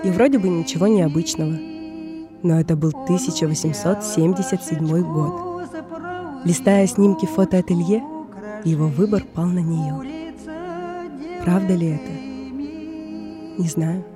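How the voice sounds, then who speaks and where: A woman's voice: on the low side, expressive, measured pace, long pauses, music in the background. A woman narrating a scripted personal audio essay in a reflective, contemplative way. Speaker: podcast narrator.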